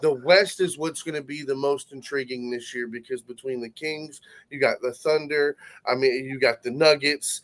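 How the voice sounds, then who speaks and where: A man's voice: mid-range voice, normal speed, few pauses, a bit bright. A man on a casual sports podcast, talking with emphasis and confidence. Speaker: podcast guest.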